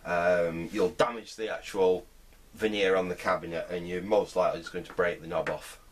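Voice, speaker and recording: Middle-aged male restorer, low-pitched voice, informal workbench commentary, thinks aloud with hesitation. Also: fairly even intonation, moderate pace. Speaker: vintage radio restorer, presenter. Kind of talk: casual hands-on repair commentary. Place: indoor workbench.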